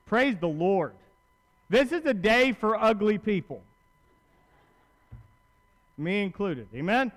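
A man preaches from a pulpit in a large auditorium, his voice pitched high and carrying long hall reverb. He talks to his listeners directly and conversationally, driving points home with repetition and rhetorical questions. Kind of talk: sermon.

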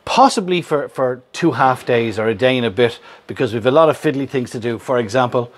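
A chatty Irish man in his fifties talks straight to a camera held at arm's length, upbeat and explaining as he goes. His voice sits at an ordinary middle pitch, and the sound is close and casual, recorded in a workshop and inside a van. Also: fairly quick, slight background noise. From Ireland. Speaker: vlogger host. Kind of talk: casual vlog narration. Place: workshop yard and inside a van under build.

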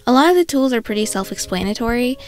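A woman's voice: on the high side, animated, quick pace, faint music behind. A young woman with a stuffy, congested voice, talking casually through an art tutorial voice-over with self-mocking asides. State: congested from a cold.

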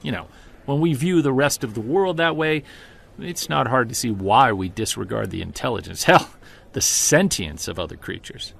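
A man's voice: mid-range voice, animated, moderate pace, gravelly, a bit bright. A man playing an earnest investigator in an audio drama interview, speaking thoughtfully with some hesitation. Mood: reflective.

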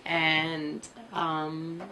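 A woman's voice: sits low, completely flat delivery, very slow, sharp tone, slight background noise. A woman in her twenties whose first language is Cantonese, telling easygoing, rambling stories as a guest in a casual interview in a small room. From United States. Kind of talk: casual chat. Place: small indoor room with computers.

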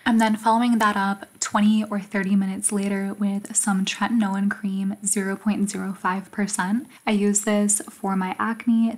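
A woman in her twenties calmly narrating her routine as a voice-over for her vlog, explaining each step. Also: medium pitch. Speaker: vlogger.

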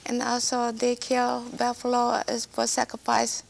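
Gravelly, halting woman from Vietnam. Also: fairly high pitch, monotone. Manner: halting non-native English with broken grammar. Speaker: interview guest. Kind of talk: personal life story in conversation. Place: small TV studio set.

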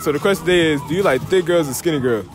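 A man's voice: fairly high pitch, rapid-fire, music in the background. Slangy young man joking around. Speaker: vlogger asking prank questions. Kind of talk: casual street vlog. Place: indoor shopping mall.

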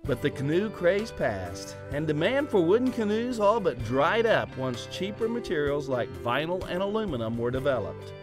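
A man narrates a scripted voice-over in a high, very animated voice. Music plays clearly under the narration. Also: normal speed, hardly any pauses. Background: background music.

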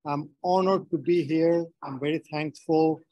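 A man's voice: on the high side, steady tone, measured pace, warm tone, no room sound, poor audio. Dry-sounding Nepali-accented man presenting. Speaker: webinar speaker. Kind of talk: prepared webinar talk.

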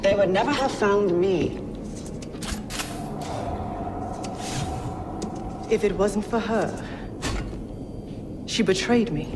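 A woman playing a cold, mocking Imperial inquisitor in a video game, taunting her opponent with deliberate phrasing. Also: mid-range voice, very noisy, lightly acted. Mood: contemptuous. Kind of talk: dramatic video game acting. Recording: loud music behind the voice.

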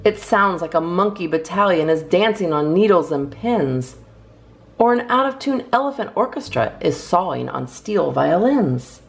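A woman's voice: lowish voice, animated, normal speed, gravelly. A woman hosting a storytime, reading children's poems aloud expressively with theatrical emphasis. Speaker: storytime host reading a picture book.

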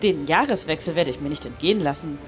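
A weary young concert pianist, played by a woman in a live radio play. She speaks courteously and formally in a rather low voice, with faint music behind. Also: expressive, fast talker.

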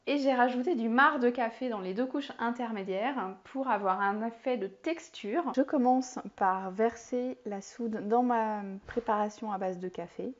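A woman in her forties explaining soap making step by step in a home kitchen, with a clear, calm teaching manner and a few fillers. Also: on the high side. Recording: slightly dull lo-fi sound.

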